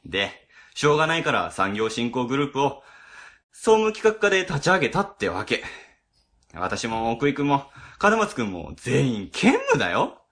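Man playing a harried, animated, bright-voiced section chief. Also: mid-range voice, moderate pace. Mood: exasperated. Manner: casual, exasperated, explanatory. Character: harried, grumbling, easygoing section chief at a ward office. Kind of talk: amateur web radio drama acting.

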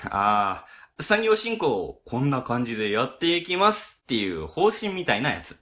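A man acts a harried civil-service boss in a web radio drama. His bright, forceful voice rises and falls freely as he grumbles and explains in a casual, exasperated way. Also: medium pitch, huge pitch swings, normal speed. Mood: nonchalant. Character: harried, grumbling, easygoing section chief at a ward office.

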